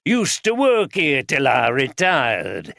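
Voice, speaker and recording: A man voicing a blunt, gruff brewery guide in his sixties with a broad Yorkshire accent. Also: mid-range voice, huge pitch swings, slow, fairly bright tone, no echo, studio quality, pitched above the performer's own voice, heavily acted. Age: 60s.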